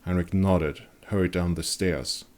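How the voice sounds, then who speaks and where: A man narrating a dark fantasy story as a dramatic audiobook reading. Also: low-pitched, steady tone, unhurried.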